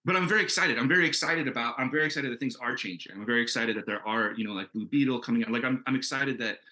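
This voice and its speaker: A man's voice: medium pitch, racing, pushed and bright. A man speaking as a comics creator on a panel, casual and earnest, talking in an enthusiastic, rambling way.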